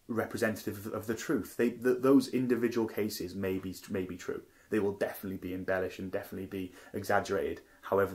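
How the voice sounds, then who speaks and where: Man, from Wales, very low voice, monotone, earnest, stumbles and restarts, radio interview. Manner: earnest, restarts often, rattles off figures. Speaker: parliamentary candidate.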